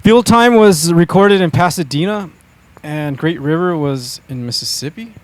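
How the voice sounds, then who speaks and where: A man's voice: a little high, expressive, normal speed, no echo. A man hosting a casual band interview, asking upbeat, joking questions. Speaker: podcast host.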